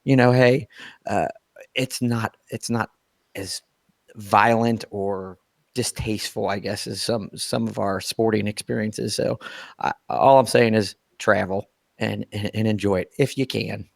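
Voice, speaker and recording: A hoarse man, co-hosting a casual sports podcast, rambling and repeating himself in an upbeat way. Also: fairly low pitch, steady tone, measured pace, no echo. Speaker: podcast co-host. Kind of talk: casual chat.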